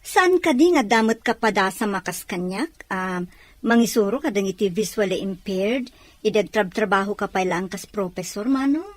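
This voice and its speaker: A woman's voice: mid-range voice, animated, moderate pace, dry recording, lightly acted. Woman acting a practical, persuasive sister, close and dry. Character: practical, persuasive woman advising her sibling. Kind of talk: Filipino radio drama acting.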